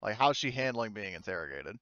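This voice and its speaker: A man narrating a tabletop game as game master, talking casually and haltingly with some swearing. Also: lowish voice, sing-song, sharp tone, no room sound, dull audio.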